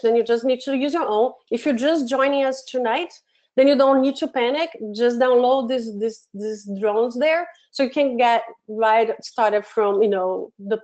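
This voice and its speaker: A woman's voice: fairly high pitch, darkish tone. Brazilian-accented woman hosting a webinar. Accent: Brazilian Portuguese. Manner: friendly, many fillers and restarts. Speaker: webinar host, education program manager. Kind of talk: live software webinar instruction. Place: home office. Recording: dull lo-fi webinar audio.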